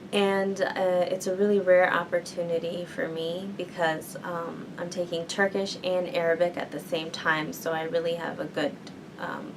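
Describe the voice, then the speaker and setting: A woman's voice: on the low side, background noise. A young woman, a university student, answering interview questions in a thoughtful way with many fillers. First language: English. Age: young adult. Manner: thoughtful, many ums and likes.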